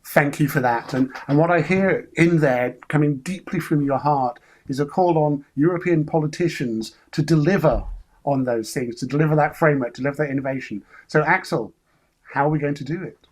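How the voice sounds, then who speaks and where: A man's voice: fairly high pitch, a little fast. A man moderating a panel discussion, courteously passing questions to the speakers. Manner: courteous, handing over questions.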